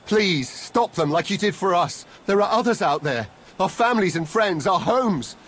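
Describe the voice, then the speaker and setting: A scared, pleading male villager with a raspy, slightly high voice. His pitch swings widely in an emotional video game performance. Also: lively intonation, moderate pace, a bit bright. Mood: desperate pleading. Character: frightened, grateful male civilian refugee, pleading.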